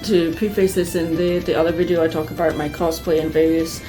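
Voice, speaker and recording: A woman telling personal stories to her viewers in a casual, chatty, expressive way, like an enthusiastic fan. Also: low-pitched, a little fast, music in the background, poor audio. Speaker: vlogger.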